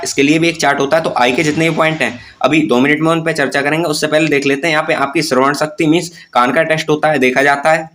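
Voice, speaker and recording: A man with a voice pitched a little higher than most men. He presents an informal tutorial, explaining things plainly and step by step. English terms are mixed into his talk. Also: a bit bright. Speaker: presenter of exam-preparation tutorials. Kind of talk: informal explanatory lesson to viewers.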